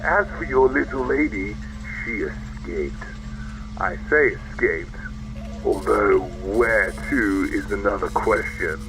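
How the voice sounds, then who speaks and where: A man's voice: on the low side, slow, music in the background, poor audio. A man playing a smug, sardonic villain in a sci-fi audio drama, drawling and taunting. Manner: drawling, taunting, theatrically casual.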